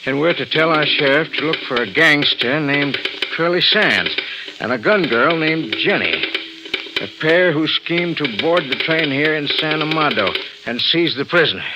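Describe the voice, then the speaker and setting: A man's voice: fairly high pitch, animated, normal speed. A man playing an earnest, insistent colleague reasoning with a deluded friend in theatrical old radio drama style. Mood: grave, explaining. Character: urgent male lawman or colleague pleading with deluded friend. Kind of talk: 1940s-50s radio drama acting. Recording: crackly, hissing old radio recording.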